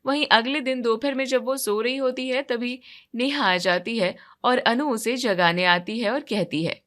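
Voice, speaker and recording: Female narrator for scripted family drama stories, bright and fairly high-pitched. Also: unhurried. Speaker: story narrator.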